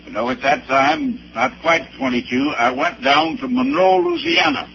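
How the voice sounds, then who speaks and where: An elderly American man, a pastor giving personal testimony in a deliberate, halting, emphatic way with a creaky voice. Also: extremely high pitch, huge pitch swings, pushed and bright. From Arkansas, United States. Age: 70s or older. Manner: deliberate, halting, with emphatic spelled-out dates and places. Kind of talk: personal testimony with anecdotes, preaching delivery. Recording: dull old recording with faint noise.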